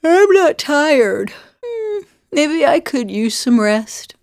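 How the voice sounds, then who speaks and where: A woman playing a wry, warm-hearted adventuring priestess of a sea goddess in a video game, with playful banter. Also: high voice, very animated, slow pace, dry recording, studio quality, heavily acted. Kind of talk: video game companion voice lines.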